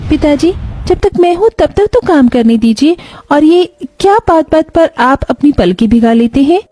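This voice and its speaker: Lady doing a sweet young village bride for a story, pitched up high, respectful and helpful. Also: animated, normal speed, mellow, no echo. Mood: caring. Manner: respectful, eager to help.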